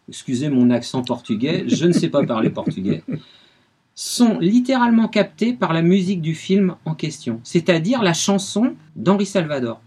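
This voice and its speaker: A man's voice: on the high side, a little mellow, slightly rough. A man giving a radio music history segment, telling the story with enthusiasm.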